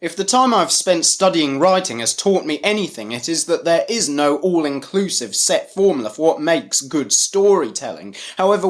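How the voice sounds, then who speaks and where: A man narrating a scripted review in a critical, dryly sarcastic manner. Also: a little high.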